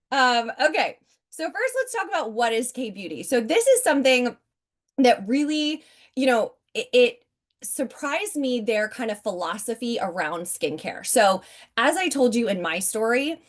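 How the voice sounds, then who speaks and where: A woman in her forties presents a business opportunity from home over a video call. She is upbeat and chatty, and she keeps turning to her audience to address them directly. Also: fairly high pitch. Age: 40s. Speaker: network marketing presenter. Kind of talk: sales pitch on a video call.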